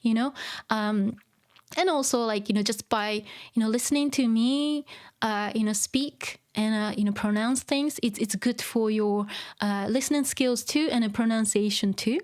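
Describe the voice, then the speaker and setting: A woman's voice: fairly high pitch, moderate pace, darkish tone. A Japanese woman teaching her native language in English on a casual livestream, chatty and encouraging, with many fillers. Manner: chatty, full of "you know" and "um", encouraging. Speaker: Japanese teacher streaming a manga reading.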